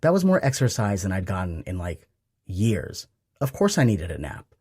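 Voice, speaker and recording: A wry, joking grown son, read by a male narrator in an audiobook. His voice sits low with a husky grain and a lively, wry lilt. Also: normal speed, heavily acted.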